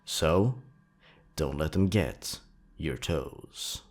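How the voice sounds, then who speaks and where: A man's voice: a bass voice, lively intonation, very slow. A man narrating scripted horror stories with a dramatic, suspenseful delivery. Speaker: narrator, host of a horror story countdown.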